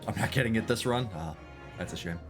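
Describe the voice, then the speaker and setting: A man's voice: fairly low pitch, quick pace, over music. A man streaming a game, chatting casually and rambling with self-deprecating jokes.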